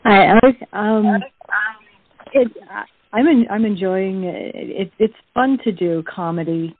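A woman's voice: mid-range voice, a little slow. A woman in her early fifties chatting casually as an interviewed actor, stammering and repeating words as she goes. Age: early 50s. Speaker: radio drama actor being interviewed. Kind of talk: casual chat. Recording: dry, tinny phone-like band.

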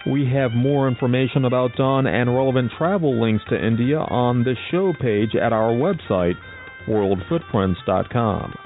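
A man hosting a radio show, reading a smooth, announcer-like station break. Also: mid-range voice, normal speed. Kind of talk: scripted radio host read. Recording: thin lo-fi radio audio, faint music.

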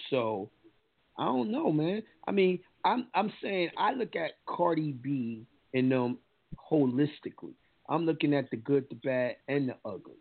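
Gravelly New York man ranting on air. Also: mid-range voice. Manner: blunt, profane, ranting. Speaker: radio show host. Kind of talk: opinionated talk radio. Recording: lo-fi phone-line sound with faint music.

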